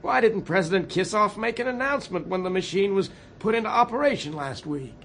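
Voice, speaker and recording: A flustered, courteous president in a radio drama. The voice is high and bright, very animated as he tries to sound reasonable, over faint background noise. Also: brisk. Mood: baffled, measured. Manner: polite, exasperated.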